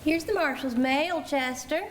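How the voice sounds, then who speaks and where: A woman's voice: high voice, measured pace. A woman acting a teasing, chirpy frontier townswoman in a western radio drama. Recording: echoey auditorium with faint noise.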